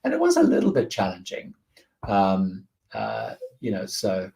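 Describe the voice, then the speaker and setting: A man's voice: low-pitched, huge pitch swings, a little slow, vocal fry, a little mellow. A man hosting a live question-and-answer stream, casually reading out viewer questions and giving rambling, friendly advice. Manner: rambling, many fillers and restarts, friendly advice. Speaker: host of a live cruise Q&A.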